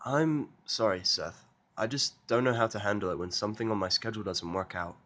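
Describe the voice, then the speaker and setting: A man's voice: lowish voice, a little fast, fairly bright tone, natural voice. A man voicing an anxious, bookish young female unicorn with a fretful, apologetic manner in a fan fiction audio drama. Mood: sheepish.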